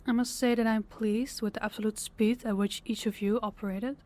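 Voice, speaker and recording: A woman playing a composed, stern female crime boss in an audio drama, speaking deliberately and with authority. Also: a little high, fairly even intonation, darkish tone, close to an everyday voice. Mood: composed, approving. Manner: authoritative, deliberate, clipped orders. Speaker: voice actor. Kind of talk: audio roleplay drama acting.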